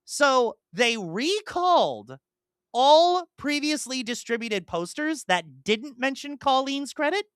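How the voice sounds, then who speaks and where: A podcast host chatting casually in an animated, rambling way, slipping into imagined dialogue. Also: high-pitched, takes it slowly, clean tone, no room sound, clean studio sound. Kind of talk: casual chat, enthusiastic monologue about films.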